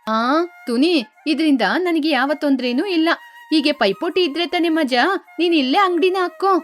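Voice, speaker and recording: A woman acts out a proud female crow in a children's cartoon. Her voice is very high and assertive, that of a competitive street vendor, with music behind. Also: normal speed, natural voice. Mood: unbothered. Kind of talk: animated children's story dialogue.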